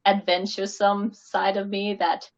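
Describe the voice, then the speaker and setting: A woman's voice: mid-range voice, little pitch movement, unhurried, dull audio. A woman from Canada, an author guest talking casually over a video call, chatty and full of "like.".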